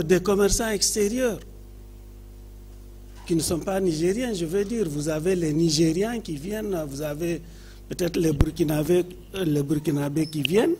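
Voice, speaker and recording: A man from Niger with a Nigerien accent, speaking off the cuff as an adviser at a seminar, phrasing his points deliberately with frequent pauses. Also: high-pitched, warm tone, breathy edge. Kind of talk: seminar intervention, unscripted public speaking.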